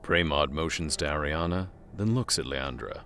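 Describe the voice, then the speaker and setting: An actor playing a solemn storyteller, narrating a scripted fantasy audio drama. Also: male voice, a bass voice, normal speed, a bit bright, smooth. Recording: music bed under the voice.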